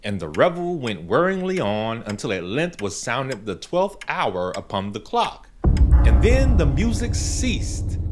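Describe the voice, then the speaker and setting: A man narrating a gothic horror tale in a dramatic, deliberate, scripted style. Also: fairly low pitch. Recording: prominent music bed under narration. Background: music.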